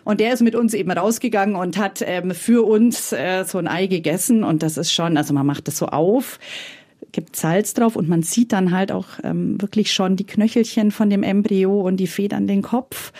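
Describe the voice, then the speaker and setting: A woman's voice: mid-range voice, moderate pace, mellow. A woman from Franconia with a Franconian German accent, a guest chatting and telling stories hesitantly in an interview. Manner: chatty, hesitant, often laughing. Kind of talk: casual conversational storytelling.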